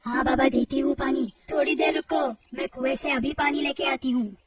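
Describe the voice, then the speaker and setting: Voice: high-pitched, fairly even intonation, recorded from a distance, a loud crowd, voice pushed up, natural voice. A performer voicing a greedy, child-eating old witch who cackles and gloats theatrically in a horror storybook tale. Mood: placating. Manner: gloating, theatrical, drawn-out words.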